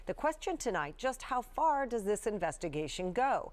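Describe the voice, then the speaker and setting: A woman's voice: mid-range voice, big pitch swings, moderate pace. A woman with an American accent anchoring the news in a scripted broadcast delivery.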